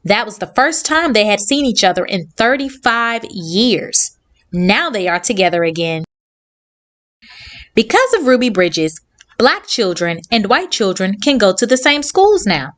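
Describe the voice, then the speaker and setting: A woman hosting a children's read-along, reading aloud and addressing young listeners in simple, clearly phrased sentences. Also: mid-range voice, big pitch swings, unhurried, a bit bright.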